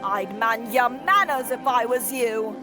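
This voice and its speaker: Woman acting slow, high-voiced cruel jailer. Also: lightly acted. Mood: menacing. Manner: scolding, threatening. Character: cruel bullying woman jailer withholding dinner from a girl. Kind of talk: audio drama acting. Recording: music under the voice.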